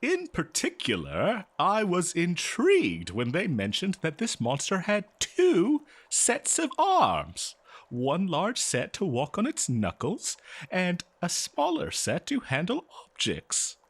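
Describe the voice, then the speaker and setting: A man playing a courteous, eager and nervous scholar in a fantasy audio roleplay, speaking politely and haltingly to the listener. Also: high-pitched, wildly swooping pitch, slightly rough, exaggerated voice. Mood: intrigued. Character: eager, nervous, courteous male human professor of ancient civilizations.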